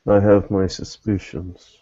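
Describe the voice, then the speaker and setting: A man playing a guarded, fatherly private investigator in a tabletop game, speaking formally and evasively in measured phrases. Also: low-pitched, flat delivery, mellow, lo-fi sound. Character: guarded male private investigator, fatherly toward a missing girl.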